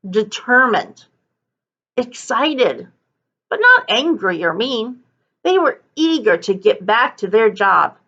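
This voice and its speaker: A woman reading a children's story aloud like a teacher, with deliberate, dramatic emphasis. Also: fairly high pitch, a bit bright, dull audio. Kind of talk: expressive children's story narration.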